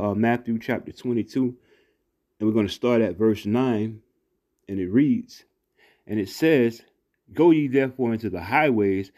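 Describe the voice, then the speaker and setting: A man teaching scripture in a casual, rambling way, full of fillers and restarts, saying "man" after many phrases and reading verses slowly. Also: mid-range voice, a little mellow. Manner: reads verses slowly and deliberately. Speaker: street preacher. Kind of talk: informal scripture teaching over a screen recording. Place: not seen, voice-over on a phone screen recording.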